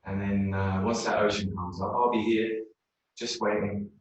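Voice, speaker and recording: A man's voice: on the low side, level tone, normal speed, a little hiss or hum, natural voice. A man voicing a friendly, chatty male sea turtle in an easygoing, hesitant way. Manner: easygoing, hesitant with little fillers. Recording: very echoey room, mic far off.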